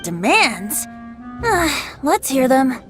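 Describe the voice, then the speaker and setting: A boyish young bard from an anime game, high and very animated. He is wily and playful, stretching words theatrically over background music. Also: full character voice. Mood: playful, wry. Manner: theatrical, drawn-out, teasing phrasing. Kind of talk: anime video game dialogue.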